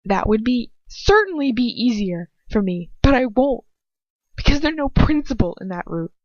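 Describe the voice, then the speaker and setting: A woman's voice: on the high side, very animated, normal speed, darkish tone, dry recording, highs cut off, pitched above the performer's own voice. A woman reading the lines of a smug, condescending aristocratic male wizard who lectures about courage. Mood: lecturing.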